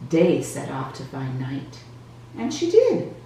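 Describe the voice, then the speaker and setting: A woman reading a children's storybook aloud in an expressive, deliberate storytelling manner, recorded with a distant, echoey sound. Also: extremely low pitch. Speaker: children's storybook reader for a church Sunday school. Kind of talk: scripted storybook narration for children. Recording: roomy echo, distant mic, faint noise.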